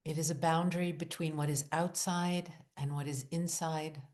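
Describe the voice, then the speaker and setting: A woman leading a guided visualization, speaking in deliberate short phrases with long pauses, in a hushed, soothing, incantatory way. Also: low voice, steady tone. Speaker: guided meditation leader. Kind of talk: guided visualization, meditative narration.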